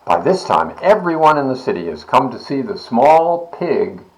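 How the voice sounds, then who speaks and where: A man reading a children's storybook aloud in an expressive, deliberate manner. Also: mid-range voice, slight background noise, poor audio. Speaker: volunteer story reader, library board member.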